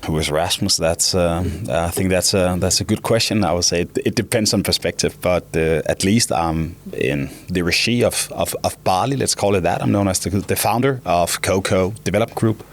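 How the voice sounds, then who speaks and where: A man's voice: low-pitched, moderate pace, few pauses. A man in his twenties from Denmark with a Danish accent, a company founder talking as an interview guest, rambling and often restarting or repeating words. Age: 20s. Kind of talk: casual interview talk.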